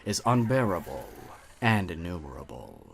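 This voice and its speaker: A male horror storyteller with a hushed, low delivery. His pitch moves a lot across each line, and there is a faint hiss of noise under his voice. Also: sing-song, normal speed, creaky. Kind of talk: scripted horror narration.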